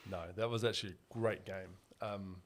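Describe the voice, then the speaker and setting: A man's voice: lowish voice, fairly even intonation, normal speed, slight background noise. Chatty young New man. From New Zealand. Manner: upbeat, chatty, laughs easily. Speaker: podcast co-host. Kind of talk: casual sports podcast chat.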